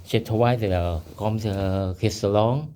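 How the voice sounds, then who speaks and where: A man in his fifties from Thailand, speaking beginner French with a Thai accent, quick and halting. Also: on the low side, a crowd in the background. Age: 50s. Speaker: student. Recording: noisy classroom.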